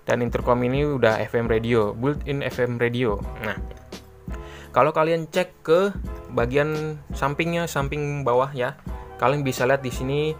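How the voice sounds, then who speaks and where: A man talks in a mid-pitched voice over faint music. He explains a product off the cuff like an online seller, informal and rambling, often circling back to repeat his point. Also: normal speed. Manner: informal, rambling, repeats himself with filler tags. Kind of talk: casual unscripted product explainer. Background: background music.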